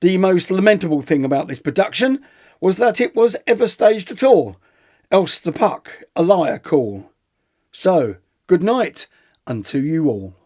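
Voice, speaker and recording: A man's voice: high voice, sing-song, measured pace, a little mellow, heavily acted. A man playing a pompous, withering theatre critic, reading a scripted comic review in an arch, theatrical manner. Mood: arch disdain.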